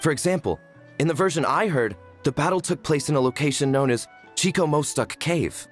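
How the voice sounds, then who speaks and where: A man's voice: on the high side, animated, fairly quick, soft background music, close to an everyday voice. A man voicing a humble tribesman in an anime game, earnest, polite and self-deprecating. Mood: earnest, explanatory. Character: humble, earnest tribesman from a lapsed shaman family.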